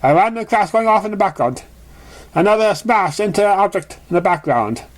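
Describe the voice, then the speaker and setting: A man's voice: high voice, expressive, a little fast. A man reading a story aloud as an amateur narrator, stumbling over words now and then. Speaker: amateur reader of fan fiction.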